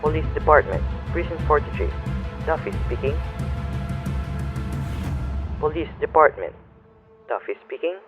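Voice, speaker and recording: A man plays a brisk, official policeman in a radio play. His voice is fairly high for a man, in dull lo-fi audio with music clearly behind it. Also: animated. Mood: matter-of-fact. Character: matter-of-fact policeman answering the precinct phone. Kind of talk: radio drama acting.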